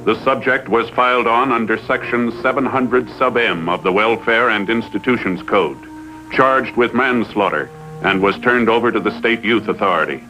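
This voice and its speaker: Man as a low, solemn announcer over music. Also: close to an everyday voice, poor audio. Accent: American. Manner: solemn, measured, official. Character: solemn official courtroom-results announcer. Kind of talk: formal scripted 1950s TV announcement.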